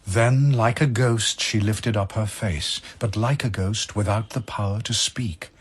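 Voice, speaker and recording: A man narrating verse as a dramatic storyteller, in a measured, solemn, literary manner. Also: on the low side, breathy edge.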